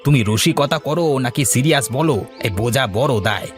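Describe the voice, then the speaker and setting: A man's voice: mid-range voice, moderate pace, faint music behind, natural voice. A man playing a weary, chatty village farmer in a story, colloquial and full of exclamations. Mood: exasperated. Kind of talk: acted village story dialogue.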